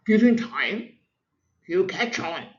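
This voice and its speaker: A woman voicing opinionated, fussy crayon gossips in a children's storybook, with brisk, exaggerated, judging delivery. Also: fairly low pitch, animated, echoey room, muffled recording. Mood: bossy.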